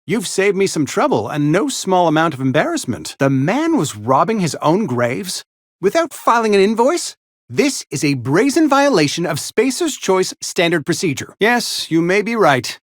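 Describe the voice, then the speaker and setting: An actor playing a fussy, mournful, company-loyal frontier barber and undertaker in a video game, speaking in formal, wordy, over-polite phrases. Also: male voice, sits high, very animated, moderate pace, no echo, clean studio sound. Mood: sheepish, formal, then shocked, indignant. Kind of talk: theatrical video game character acting.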